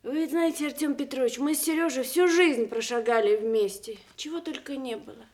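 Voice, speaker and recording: A woman acts out a devoted older wife worn by worry over her husband. Her voice is high, and her halting, theatrical delivery is full of feeling, as in Soviet radio drama. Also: a little mellow, natural voice. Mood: grieving. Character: anguished, devoted older wife of a dying veteran.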